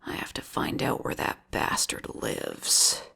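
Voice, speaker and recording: A woman playing an obsessive, lovesick female vigilante killer in an intimate audio roleplay, with a whispery, gravelly voice and a slow, taunting manner. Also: sharp tone. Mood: taunting menace.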